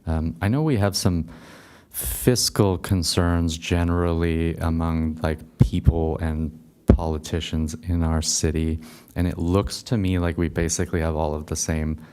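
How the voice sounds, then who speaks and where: A man with a very low, smooth voice speaks reflectively at a table microphone. His pitch stays even, and he comes across as self-aware. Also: unhurried. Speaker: committee member. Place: city meeting room.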